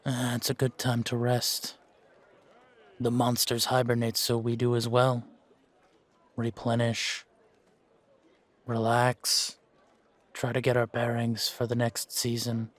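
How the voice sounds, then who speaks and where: Slow-talking, world-weary monster hunter for fantasy audio roleplay, male actor, flat delivery, laconic and musing. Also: mid-range voice, lightly acted. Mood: relaxed, musing. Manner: laconic, musing, measured with pauses. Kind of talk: fan-made roleplay audio drama, unhurried monologue to a companion.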